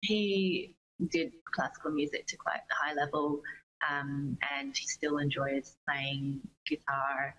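Young woman w a low voice, musician guest chatting casually in an interview, bit of hum. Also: steady tone, takes it slowly, a bit bright, low-quality recording. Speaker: interview guest, pop singer-songwriter.

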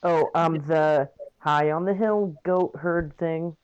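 A dry, slightly lo-fi recording of a young man co-hosting a podcast. His voice sits a little high, and he talks casually in a halting way, searching for his words. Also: steady tone, smooth, no room sound. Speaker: podcast co-host. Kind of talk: casual movie review chat. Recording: dry, slightly dull lo-fi mic.

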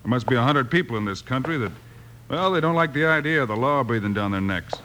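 An actor playing a cool, resolute frontier marshal with an American accent, terse and commanding, in a 1950s radio western. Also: male voice, mid-range voice, expressive, fast, sharp tone. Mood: calm, determined. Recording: noisy old-time radio recording.

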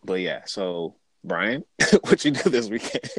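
A man's voice: sits low, very animated, a little slow, a bit bright, husky, dry recording. A young man hosting a casual podcast, laid-back and joking, with slangy, easygoing talk. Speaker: podcast host.

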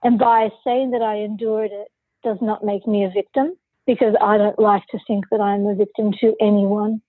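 A woman's voice: mid-range voice, level tone, moderate pace, breathy edge, no room sound, narrow, tinny audio. A woman who is a podcast guest, telling her personal story candidly and forthrightly in an interview.

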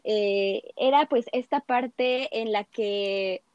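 A woman's voice: a little high, very slow, darkish tone, clear, resonant tone, no echo. Woman, podcast host, chatty, fillers and restarts, dull dry recording. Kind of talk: casual podcast chat.

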